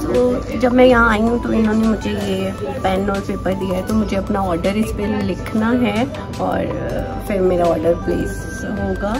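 A woman's voice: medium pitch, steady tone, unhurried, hardly any pauses, music in the background. A woman in her thirties chatting to a phone camera in a small cafe, eagerly praising her food. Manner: chatty, enthusiastic, repeats praise while tasting. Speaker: food vlogger. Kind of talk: casual food vlog to camera. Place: small indoor cafe by a window. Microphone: phone held at arm's length.

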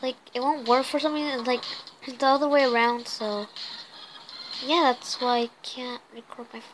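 Hoarse young woman, hesitant game chatter. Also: high-pitched, fairly even intonation, moderate pace, noisy background. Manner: hesitant, full of ums and uhs, apologetic. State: losing her voice, hoarse. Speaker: gameplay video host. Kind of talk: casual gameplay commentary.

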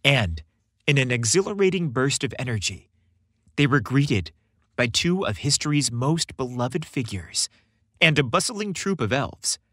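A man's voice: mid-range voice, sing-song, measured pace, a bit bright, no echo, studio quality. A man reading a scripted story as a narrator, with dramatic storytelling delivery. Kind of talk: scripted audiobook-style narration.

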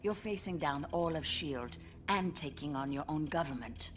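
An actress playing a cool, stern female spy in a video game, speaking in a measured way. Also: sits low, animated, a little hiss or hum. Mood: stern, reluctant. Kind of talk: video game cutscene acting.

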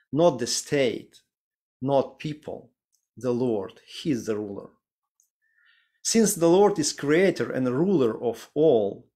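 A man in his thirties with a Ukrainian accent, preaching a sermon and reading scripture slowly and deliberately over a video call from a home study. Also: medium pitch, darkish tone. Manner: slow, deliberate reading with careful pauses. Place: home study with bookshelves, indoors. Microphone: video call webcam.

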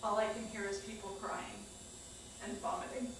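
A woman's voice: medium pitch, fairly even intonation, moderate pace, distant mic. Woman, staged reading, very echoey theater, faint noise. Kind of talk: scripted personal storytelling read aloud. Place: small indoor theater stage. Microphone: far camera microphone.

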